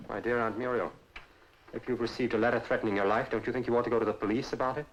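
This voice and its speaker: An actor playing a testy, conniving nephew in a radio drama, formal and curt. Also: male voice, medium pitch, fairly even intonation, very fast, lo-fi sound, a little hiss or hum, close to an everyday voice. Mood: feigned innocence. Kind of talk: old-fashioned radio drama acting.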